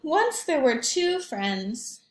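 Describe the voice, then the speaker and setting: A woman with a fairly high voice reads a picture book to young children at a slow pace. She is expressive and uses simple phrasing, in a roomy, echoing space. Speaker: teacher reading aloud to young children. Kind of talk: storybook read-aloud with questions and comments. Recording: roomy echo.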